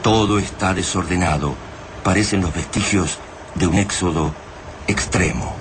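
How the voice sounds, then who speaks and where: An actor with an Argentine accent narrating a radio drama war epic in a grave, literary manner. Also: male voice, unhurried. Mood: grave, somber. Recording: noisy rumbling battle ambience behind the voice.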